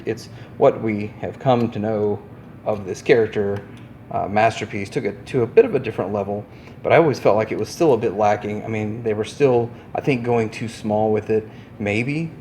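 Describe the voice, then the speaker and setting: A man's voice: medium pitch, a little mellow, a little hiss or hum. A man presenting an unscripted product review, rambling in an easygoing way as he thinks aloud while handling items, with music behind him.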